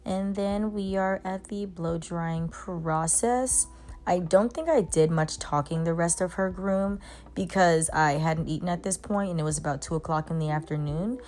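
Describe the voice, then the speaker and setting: A woman's voice: lowish voice, moderate pace, darkish tone. A young woman, a mobile pet groomer, chatting casually to her viewers as she works in her grooming van. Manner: chatty, talks to dogs in baby talk. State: congested. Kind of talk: casual vlog narration.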